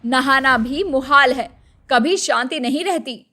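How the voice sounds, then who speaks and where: A woman's voice: sits high, slow, sharp tone, exaggerated voice. A woman voicing a cranky older mother-in-law in a story cartoon, grumbling and scolding. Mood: angry, fed up. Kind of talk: animated moral-story cartoon dialogue.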